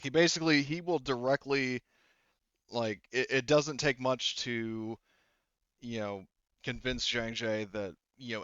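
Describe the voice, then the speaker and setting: A man's voice: medium pitch, a bit bright, smooth, no echo, muffled recording. A man acting as game master, narrating a tabletop game in a rambling, conversational way with many fillers and casual swearing.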